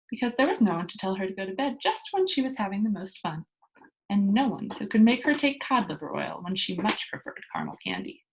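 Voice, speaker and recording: A woman teacher reading a children's book aloud and explaining it to young pupils in an expressive storytelling manner. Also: a little high, highs cut off, slight background noise.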